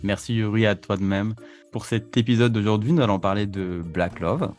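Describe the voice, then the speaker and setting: A man's voice: lowish voice, normal speed, a little mellow, faint music behind. A man co-hosting a podcast, welcoming guests and asking questions in a friendly, casual way. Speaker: podcast co-host.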